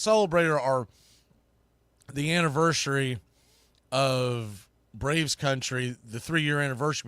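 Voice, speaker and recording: A man's voice: mid-range voice, fairly bright tone, clear, resonant tone, no room sound. A man hosting a sports show, talking to viewers in a loose, informal, rambling way and often correcting himself. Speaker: host of a sports podcast and play-by-play streamer.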